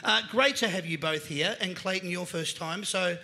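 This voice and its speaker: Australian male host, middle-aged, bright sharp gravelly tone, cheery live-stage quizzing. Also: high-pitched, normal speed. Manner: cheery, joking, quizzing guests. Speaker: panel show host. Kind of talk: live panel show hosting. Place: indoor venue stage with a live crowd. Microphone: handheld mic.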